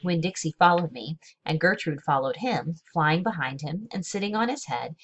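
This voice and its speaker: A woman with a low voice reads a children's novel aloud. Her delivery is measured and expressive, with deliberate emphasis as she tells the story. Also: breathy edge. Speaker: read-aloud reader. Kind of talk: expressive children's book narration.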